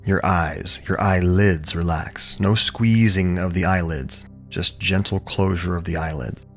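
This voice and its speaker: A man leading a guided relaxation as a yoga teacher, speaking calmly and soothingly in short, measured phrases with pauses. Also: deep voice, faint music behind. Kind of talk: guided meditation, gentle instruction.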